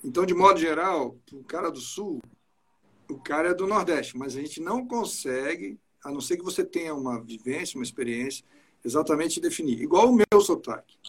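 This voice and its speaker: A man's voice: on the high side, expressive, a little fast, breathy edge. A man from Espírito Santo with a capixaba accent, chatting casually over a video call and often repeating words as he starts a sentence. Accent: capixaba Portuguese. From Espírito Santo, Brazil.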